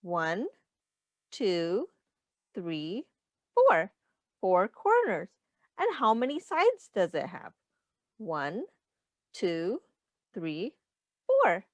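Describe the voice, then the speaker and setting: A woman's voice: mid-range voice, wildly swooping pitch, extremely slow, long pauses, no echo. A woman reading a picture book aloud as a teacher, explaining simply to young children and asking them questions. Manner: simple instructive phrasing aimed at young children.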